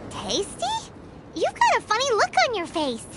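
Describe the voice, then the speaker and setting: A woman voicing a tiny, childlike fairy companion in an anime-style video game, cutesy and squeaky. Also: wildly swooping pitch, normal speed, very noisy, exaggerated voice. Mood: puzzled, nosy. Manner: cutesy, refers to self in third person.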